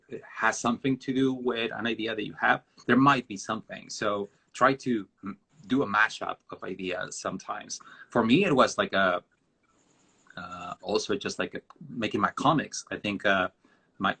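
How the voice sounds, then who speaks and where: Mexican guy, spanish is his first language, lowish husky voice, kinda rambly and reflective. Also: pushed and bright. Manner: rambling and reflective, with many restarts. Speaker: guest designer. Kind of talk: live-streamed interview answers.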